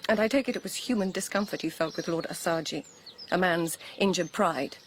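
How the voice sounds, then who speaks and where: A woman's voice: lowish voice, a little hiss or hum, natural voice. Woman acting a poised, probing court lady. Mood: challenging. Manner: measured, articulate, pointed questioning. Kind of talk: radio drama acting.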